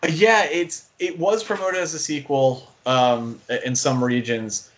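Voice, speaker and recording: A man's voice: fairly high pitch, fairly even intonation, unhurried. Enthusiastic man chatting casually about film. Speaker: podcast co-host and film fan. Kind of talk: casual movie discussion.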